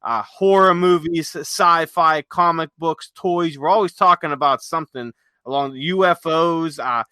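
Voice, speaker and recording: Man, podcast host, very bright forceful voice, slightly high pitch, dry sound, casual rambling chat. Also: unhurried, clean tone, no echo.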